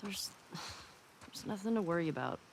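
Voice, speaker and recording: A woman's voice: lowish voice, expressive, normal speed, creaky, noisy background. A guarded, terse teenage girl. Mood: reluctant. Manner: terse, reluctant.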